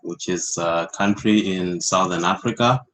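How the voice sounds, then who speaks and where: Deep, slow man. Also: fairly bright tone, a little hiss or hum. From Zimbabwe. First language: Shona. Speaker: panelist. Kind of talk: self-introduction on a panel.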